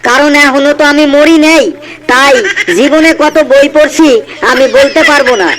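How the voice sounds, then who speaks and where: Very high-pitched cheeky schoolboy. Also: male voice, steady tone, moderate pace, a bit bright. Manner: matter-of-fact, cheeky comebacks. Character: cheeky sixth-grade schoolboy, smart-mouthed and unflappable. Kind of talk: comedy cartoon dialogue.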